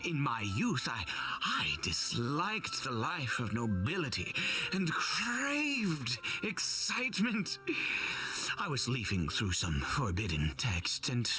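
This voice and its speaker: Game role: unstable cursed immortal noble, slow, bright and intense, stammering and desperate. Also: male voice, mid-range voice, wildly swooping pitch, faint music behind, heavily acted, rough recording. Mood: confessional. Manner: halting, stammering, theatrical, nervous laughs. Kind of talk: dramatic video game voice acting.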